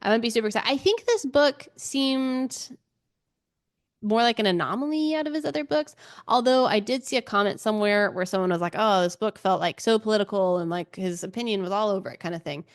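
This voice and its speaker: A woman chats casually as co-host of a book podcast. Her voice sits at a middling pitch, recorded dry with very little room sound. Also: fairly quick, dry recording.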